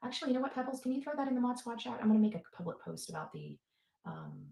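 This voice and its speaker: A woman's voice: on the high side, wildly swooping pitch, racing, gravelly, mellow. A woman hosting a casual livestream for followers, rambling and repeating herself for emphasis. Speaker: livestream host and advocate. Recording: roomy, echoing livestream replayed.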